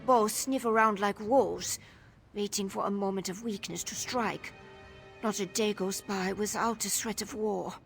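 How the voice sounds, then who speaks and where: A woman's voice: mid-range voice, fairly even intonation, unhurried, gravelly, soft background music. A woman playing a wary, downtrodden young Nord informant in a video game, telling things in a guarded, world-weary way. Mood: weary, hopeful. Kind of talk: video game dialogue acting.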